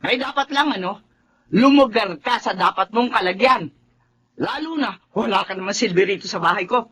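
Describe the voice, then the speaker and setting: A woman's voice: mid-range voice, animated, normal speed, fairly bright tone, husky. An actress playing a scornful middle-aged mother-in-law, scolding and belittling in a melodrama. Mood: contemptuous. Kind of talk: Filipino melodrama acting.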